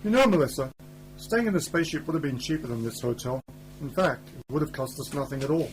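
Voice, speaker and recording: A man's voice: a little high, animated, quick pace, lightly acted, poor audio. A man playing an eccentric, wry older time traveller in a radio play, with a whimsical, theatrical delivery. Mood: droll, musing. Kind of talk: hobby radio play acting. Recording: amateur radio play under loud music.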